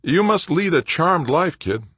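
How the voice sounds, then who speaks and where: A male narrator plays a seasoned lunar explorer, gruff and rugged. His voice is pushed up and forceful, swinging widely, with a dry, close sound. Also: fairly high pitch, expressive, measured pace, no echo, voice pushed up, full character voice. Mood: gruff, wistful.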